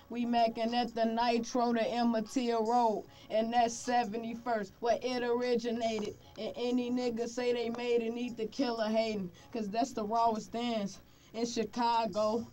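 A man's voice: very high-pitched, a little mellow, far from the mic. A young man rapping a rhythmic, slang-heavy verse into a studio mic in a vocal booth. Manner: rhythmic, clipped rap delivery with slang. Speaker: rapper. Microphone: studio condenser mic with pop filter.

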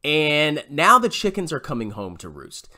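A man's voice: fairly high pitch, huge pitch swings, moderate pace, sharp tone. A man giving blunt, exasperated, sarcastic reaction commentary in a small room at home. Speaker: YouTube host reacting to a video.

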